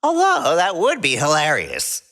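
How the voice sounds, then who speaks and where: Man acting a grumbling, animated scheming raccoon. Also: fairly high pitch, a little slow, heavily acted. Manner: grumbling, emphatic. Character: irritable, scheming raccoon, mischievous adult male.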